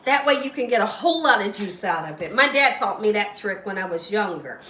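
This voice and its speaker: A woman hosting a casual home cooking tutorial, slightly hoarse as she loses her voice, chatty and encouraging toward viewers. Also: medium pitch, very animated, fairly quick, sharp tone, roomy sound, recorded from a distance, slight background noise. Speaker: cooking show host. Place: home kitchen.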